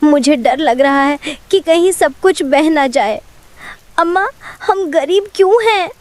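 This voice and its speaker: A woman plays a poor little village girl in a cartoon story. The voice is high and dry, with an innocent, frightened manner. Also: animated, a little slow, darkish tone, dry recording. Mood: fearful, innocent.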